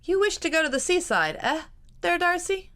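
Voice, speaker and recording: A woman's voice: very high voice, big pitch swings, normal speed, a bit bright, pitched above the performer's own voice, heavily acted. A woman voicing a genial male army officer who teases and bargains with his young cousin. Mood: wry.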